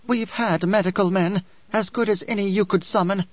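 Man voicing a bitter, high-pitched desert woman. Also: steady tone, normal speed, dry recording, muffled recording. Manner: reproachful, edged, whining. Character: bitter, worn, proud desert woman, not very old.

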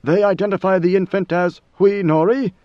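A man voicing a cold, commanding ancient ruler in a measured, lofty way. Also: high voice, fairly even intonation, a little mellow, dry recording, dull audio, close to an everyday voice. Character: ancient imperious male god-emperor, coldly commanding.

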